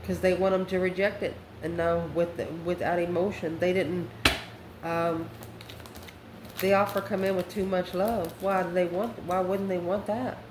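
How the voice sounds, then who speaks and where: A woman's voice: on the low side, steady tone, normal speed. A woman giving an improvised online tarot reading in a folksy, colloquial and sassy way. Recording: roomy echo with faint background noise.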